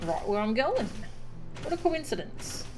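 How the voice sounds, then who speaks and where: A woman's voice: on the high side, big pitch swings, a little slow, music in the background. A woman casually commenting as she streams a game, with wry asides.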